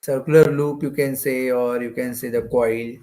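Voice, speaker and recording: A man's voice: mid-range voice, steady tone, moderate pace, mellow. A man with an Indian accent giving an explanatory exam-prep lecture as a physics teacher, going step by step and repeating phrases.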